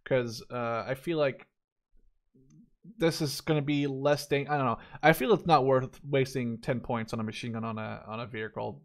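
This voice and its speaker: A man in his twenties giving casual, unscripted gameplay commentary in a rambling, opinionated, informal way. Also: mid-range voice. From Netherlands. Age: 20s. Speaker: gaming YouTuber reviewing a game deck.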